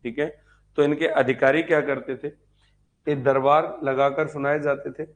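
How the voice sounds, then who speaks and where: A man's voice: medium pitch, little pitch movement, moderate pace. A man teaching an exam-prep history lecture, explaining step by step, repeating phrases as if dictating and asking check questions.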